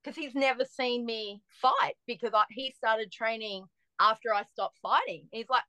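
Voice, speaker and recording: A woman, chatting as a podcast guest and telling lively stories with frequent restarts. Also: fairly high pitch, moderate pace, no echo, low-quality recording. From Australia. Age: 40s. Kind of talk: casual chat.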